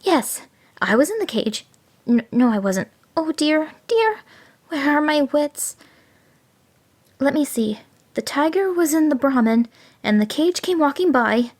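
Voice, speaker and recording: A woman voicing a cunning male jackal who pretends to be slow-witted, with fluttery, flustered pleading. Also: sits high, animated, pitched above the performer's own voice. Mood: feigned confusion.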